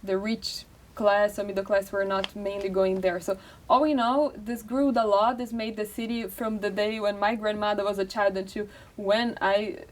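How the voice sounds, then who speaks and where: A woman's voice: medium pitch, flat delivery, moderate pace, few pauses, far from the mic, slight background noise. A young woman from Brazil with a Brazilian Portuguese accent, a guest talking at length in a casual interview and leaning on fillers like "like" and "uh". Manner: talkative, many fillers like "like" and "uh".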